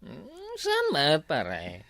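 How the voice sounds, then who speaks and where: Actor as lazy, tippling Ilocano husband in comedy drama, slow hesitant drawl, swinging intonation. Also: male voice, on the high side, huge pitch swings, vocal fry, fairly bright tone, dry recording, exaggerated voice. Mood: lazy, evasive. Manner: drawling, hesitant, full of hums. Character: work-shy, drinking village husband.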